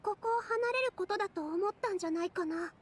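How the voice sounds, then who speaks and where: An actress playing a gentle young girl in an anime fantasy game. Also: very high voice, very fast. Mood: concerned, explaining. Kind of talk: Japanese anime game voice acting.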